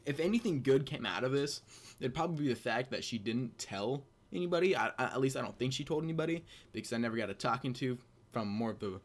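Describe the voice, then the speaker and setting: A young adult man hosts a casual storytelling video with a mid-pitched voice. He talks informally and crudely, joking as he goes and amused at his own material. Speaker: video host telling personal stories. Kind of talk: casual comedic personal storytelling.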